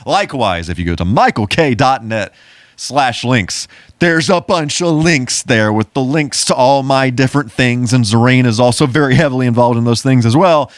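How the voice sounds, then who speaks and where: A man's voice: medium pitch, lively intonation, normal speed, dry recording, clean studio sound. A man co-hosting a podcast in casual chat, with a jokey, mock-grandiose way of addressing listeners. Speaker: podcast co-host.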